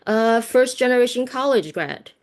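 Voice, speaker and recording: A woman's voice: a little high, animated, moderate pace. A woman whose first language is Cantonese, from the United States, leading an online teaching workshop over a video call in a conversational way, often checking in with tag questions. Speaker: workshop presenter.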